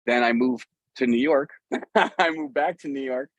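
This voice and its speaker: A middle-aged American man being interviewed as a musician, talking casually and earnestly in halting, rambling sentences with repeated phrases. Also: medium pitch, no room sound, poor audio. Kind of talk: casual, rambling conversation about a music career.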